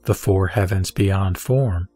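A man giving a measured, solemn reading of a Buddhist scripture. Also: sits low, husky, no echo. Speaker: sutra reciter. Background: soft background music.

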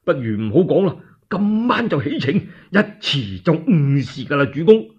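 A man's voice: on the high side, very animated, normal speed, husky, highs cut off. A man voicing a loyal general in a one-man radio drama, insistent and alarmed as he presses his lord.

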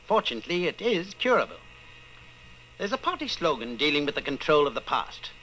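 A man as a sharp-voiced, menacing inquisitor. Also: a little high, big pitch swings, a little hiss or hum, low-quality recording. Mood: controlled menace. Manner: measured, condescending, commanding. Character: coldly patient, menacing male inquisitor of a totalitarian party. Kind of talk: old-time radio drama acting.

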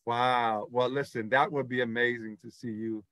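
A man's voice: medium pitch, steady tone, normal speed, a bit bright, no echo. A middle-aged man hosting an interview over a video call, effusive and laughing, repeating his praise. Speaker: talk show host. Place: indoors in front of a backdrop.

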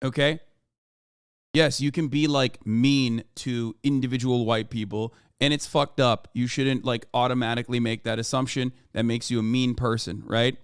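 A man's voice: medium pitch, fairly even intonation, moderate pace, smooth, no room sound. A man in his thirties giving emphatic, profane, lecturing political commentary on a livestream into a close desk microphone. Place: small room at home, indoors.

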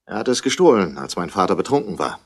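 A man's voice: low voice, big pitch swings, fast talker, full character voice. An actor playing a haughty, irritable wealthy man in a 1960s radio play, speaking in a curt, formal way. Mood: cool, formal.

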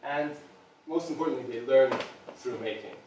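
A man's voice: mid-range voice, normal speed, recorded from a distance, background noise. Serbian-born man presenting in an echoey room. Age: 30s. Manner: earnest, hesitant with frequent ums. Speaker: product designer giving a talk. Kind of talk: public presentation. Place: small meeting room with audience. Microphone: far camera.

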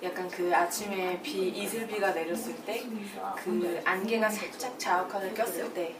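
A woman's voice: lowish voice, fairly even intonation, normal speed, background noise. A young woman singer bantering backstage with a dry, mock-serious way of talking, recorded by a distant camera in a roomy dressing room. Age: young adult. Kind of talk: playful banter.

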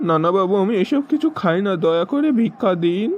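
Meek cartoon man acted by a man, pleading, polite, slightly high pitch, muffled dry recording. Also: animated, a little fast, slight background noise. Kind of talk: cartoon joke skit voice-over.

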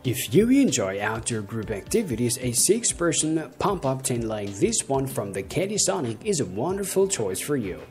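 Young male reviewer reading a script over soft music, lively intonation, odd stress. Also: medium pitch, moderate pace, hardly any pauses. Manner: reads a script with uneven stress and slips. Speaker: product review narrator.

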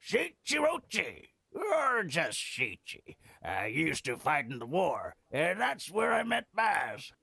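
An actor playing a cheeky, scruffy old veteran freeloader in a video game, with a raspy, drawling, theatrical delivery. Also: male voice, a little high, huge pitch swings, slow, sharp tone, dry recording, exaggerated voice. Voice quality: gravelly, raspy. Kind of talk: video game character acting.

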